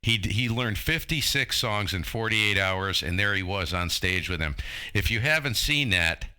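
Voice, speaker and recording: A man in his fifties chatting casually over amateur radio, telling stories in an animated, informal way. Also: lowish voice, normal speed, clear, resonant tone. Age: 50s.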